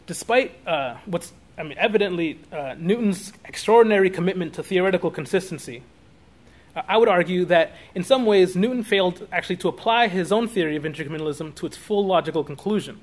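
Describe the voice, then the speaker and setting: High-pitched man giving an academic talk. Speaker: academic lecturer. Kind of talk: academic talk read from notes with spoken asides. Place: small classroom or meeting room, indoors.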